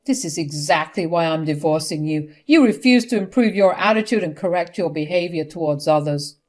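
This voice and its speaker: A woman voicing an angry, principled older husband who speaks sternly and forcefully in a dramatic story. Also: fairly low pitch, a little fast, lightly acted. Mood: stern anger. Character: angry, morally firm older husband divorcing his wife.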